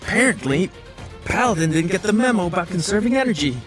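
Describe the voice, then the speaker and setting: Lively, cheeky young male hero, acted by a man. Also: high voice, quick pace, faint music behind. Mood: teasing. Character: cheeky young male superhero teammate, quipping. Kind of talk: audio drama superhero acting.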